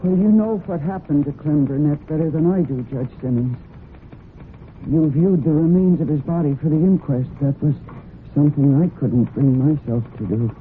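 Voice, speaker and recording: A woman's voice: low voice, moderate pace, lightly acted. An actress playing a strong-willed, loyal and defensive woman in a vintage radio drama, speaking with earnest, emotional insistence. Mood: guarded. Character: strong-willed adult daughter, loyal and defensive about her horse. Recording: muffled, noisy old radio broadcast recording.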